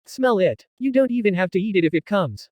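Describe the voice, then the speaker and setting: A man playing an eager, carefree teenage boy in a visual novel, talking in animated slang. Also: high-pitched, brisk, dry recording, clean studio sound. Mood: pushy, casual.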